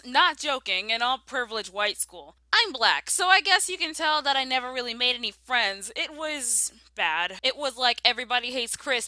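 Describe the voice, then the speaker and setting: Bright, animated young American woman storytelling. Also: a little high, dry recording. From southern United States. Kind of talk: scripted personal story narration.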